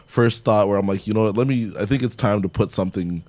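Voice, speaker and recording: A man's voice: lowish voice, fast talker, a little mellow, no room sound. A young man, talking casually as an interview guest and often chuckling as he speaks. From Canada. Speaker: stand-up comedian, interview guest.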